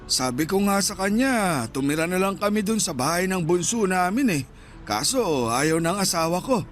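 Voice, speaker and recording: A man's voice: sits high, lively intonation, moderate pace, soft background music. A man playing a shy, plaintive old street vendor husband in his sixties with a Filipino accent, in radio drama style. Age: 60s.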